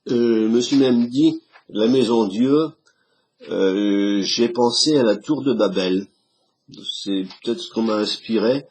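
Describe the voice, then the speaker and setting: A hoarse man talks casually in a halting way, with many restarts, while he explains a tarot card reading to his camera. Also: on the low side, darkish tone. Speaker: tarot reader. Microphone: camera he set up himself.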